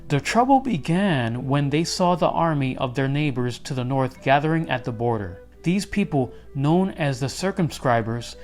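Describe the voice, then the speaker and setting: A man reading a children's storybook aloud as an expressive narrator. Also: medium pitch.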